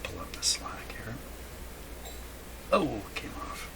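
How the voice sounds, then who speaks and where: A man giving unscripted, halting commentary as he thinks aloud while doing hands-on repair work. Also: whispered. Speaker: radio repair hobbyist narrating a workshop video. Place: indoor radio repair workshop. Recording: clearly noisy workshop recording.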